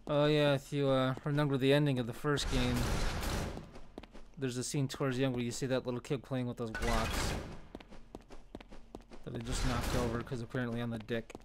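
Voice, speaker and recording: A man's voice: medium pitch, level tone, normal speed, long pauses. A man hosting a let's play with casual, wry, joking commentary on a video game. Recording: clear background game noise. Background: game audio ambience.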